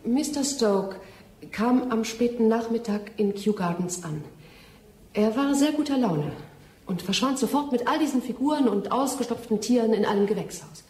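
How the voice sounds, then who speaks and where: Radio drama young lady actress, mellow, composed narrator of testimony, echoey. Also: fairly high pitch, expressive, moderate pace, natural voice. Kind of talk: German radio drama acting. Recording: echoing courtroom-like room.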